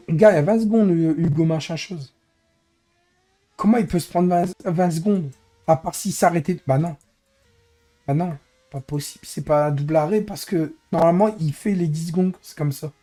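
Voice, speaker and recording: A hoarse man who is losing his voice, grumbling in exasperation as he comments live on his racing game stream. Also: a little high, warm tone. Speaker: streamer and racing league head.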